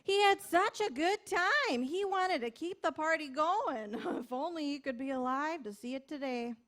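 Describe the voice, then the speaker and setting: An eager, naive small-town Minnesota shopkeeper. Her voice is high and bright, with a folksy local accent, played perkily for a radio comedy sketch. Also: animated, normal speed. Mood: perky, folksy. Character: perky, naive small-town Minnesota sister who paints flasks.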